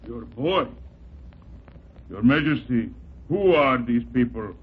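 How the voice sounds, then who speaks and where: An actor playing a huge, bearded, bullying foreign courtier in 1940s radio style, commanding and haughty. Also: male voice, medium pitch, expressive, slow pace, breathy edge, heavily acted. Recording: muffled old 1940s radio recording.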